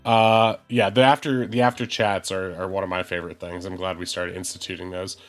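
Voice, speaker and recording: A man's voice: low voice, level tone, fairly quick, soft background music. A man hosting a tabletop game stream, congested from the flu, chatting loosely with lots of fillers before opening the session. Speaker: game master. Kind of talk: casual stream chat and game intro.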